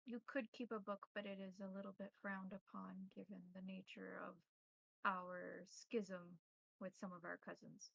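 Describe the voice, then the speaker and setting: A woman playing a measured, cautious elder vampire mentor who instructs in formal, deliberate phrasing. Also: mid-range voice, flat delivery, creaky, fairly bright tone, no echo, low-quality recording.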